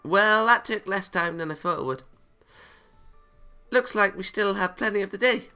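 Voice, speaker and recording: A man's voice: high voice, normal speed, bright, forceful tone, clean tone, soft background music, voice pushed up, full character voice. A man voicing a cheerful, optimistic and bossy anime angel girl from a visual novel game.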